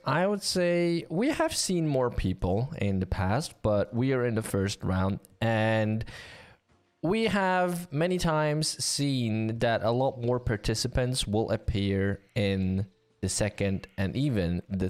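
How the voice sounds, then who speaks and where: A man hosting a live game stream with a sore throat, chatting in an upbeat way with many fillers. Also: mid-range voice.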